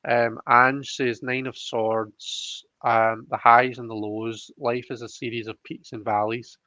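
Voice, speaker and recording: A man's voice: mid-range voice, level tone, takes it slowly, sharp tone, no echo. A man chatting casually as the host of a live tarot reading, over a slightly muffled online call. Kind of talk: casual chat, reading viewer comments aloud.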